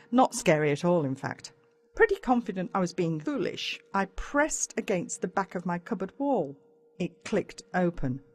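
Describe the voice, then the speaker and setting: A woman with an Australian accent narrates a children's story in the first person, in a dramatic, expressive way. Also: lowish voice. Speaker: audiobook narrator.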